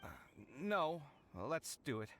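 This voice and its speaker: A man's voice: on the high side, sing-song, slow pace, fairly bright tone, slight background noise, heavily acted. An actor playing a proud, dramatic young man, theatrical and plaintive, in an anime game. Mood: hesitant.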